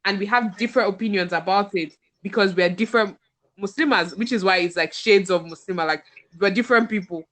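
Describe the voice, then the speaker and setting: Podcast host: female, Nigerian accent, mid-pitched, casual conversational delivery. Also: a little fast, fairly bright tone. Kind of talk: casual group chat.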